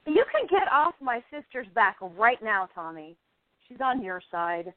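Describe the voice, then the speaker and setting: A woman's voice: on the high side, big pitch swings, a little slow, no room sound. A woman acting a stubborn, frustrated thirty-year-old struggling actress in an amateur radio play, emphatic and theatrical. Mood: defiant. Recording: tinny phone-line internet radio audio.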